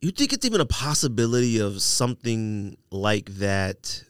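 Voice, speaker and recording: A man's voice: on the low side, very animated, normal speed, smooth, no echo, studio quality. A man hosting a podcast in casual conversation, with blunt, opinionated, slangy talk. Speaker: podcast host. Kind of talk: casual chat about sneakers. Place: studio.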